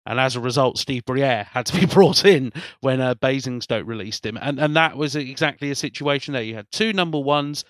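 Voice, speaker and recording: A man's voice: mid-range voice, a little fast, hardly any pauses, dry recording, clean studio sound. A man hosting a podcast in casual chat, rambling and often repeating words as he restarts his sentences. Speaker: podcast host.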